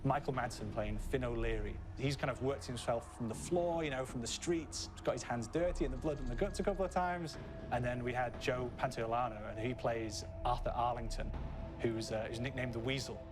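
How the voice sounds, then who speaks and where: Male developer interviewee, conversational explainer, quick pace, music underneath. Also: medium pitch. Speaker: game developer. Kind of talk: behind-the-scenes interview. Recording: clear music bed behind.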